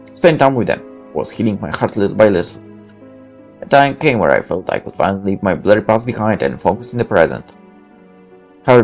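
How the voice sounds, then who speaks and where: A man narrates a visual novel in the first person, reading it dramatically. His voice is creaky with a lively range of pitch, over faint background music.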